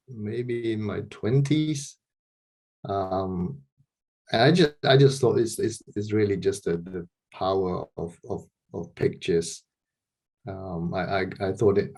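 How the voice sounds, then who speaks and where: A middle-aged man from Malaysia speaks over a video call from a bright room at home, in a fairly low voice. He talks hesitantly, restarting and repeating words as he gathers his thoughts, with a soft laugh now and then. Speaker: interview guest, street photographer.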